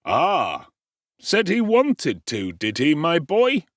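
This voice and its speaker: A man doing a smug, harsh old gentleman. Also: on the high side, huge pitch swings, slow, no echo, pitched above the performer's own voice, full character voice. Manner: pompous and condescending. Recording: dry close studio sound.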